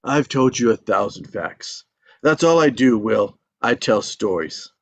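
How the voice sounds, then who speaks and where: A man's voice: on the low side, lively intonation, measured pace, vocal fry, exaggerated voice. A man playing an aging father, a stubborn, folksy teller of tall tales, in a script table read. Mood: stubborn, defensive. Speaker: actor in a screenplay table read. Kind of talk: live script table read, dramatic dialogue.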